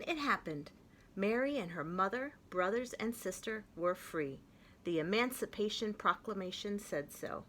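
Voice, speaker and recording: Gentle, expressive woman reading a picture book. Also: medium pitch, a little hiss or hum. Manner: gentle, expressive, clear storytelling. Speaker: children's story reader.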